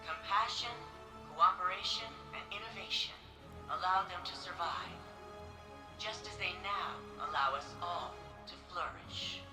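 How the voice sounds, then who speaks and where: A woman playing a devoted, wry archivist in a video game, speaking in measured, articulate phrases. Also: lowish voice. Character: wry, devoted female archivist of a sci-fi city's heroes. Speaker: archivist and memorial guide. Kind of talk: scripted video game voice acting. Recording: echoey room sound. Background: clear music.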